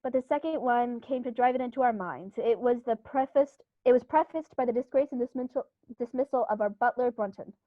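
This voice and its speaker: Woman as genteel country squire, high-pitched. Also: brisk, hardly any pauses, no echo, close to an everyday voice. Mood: confiding. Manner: theatrical, genteel, emphatic. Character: cordial, indignant upper-class English country squire, male. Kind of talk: 1930s-style radio play acting. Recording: dull, muffled recording.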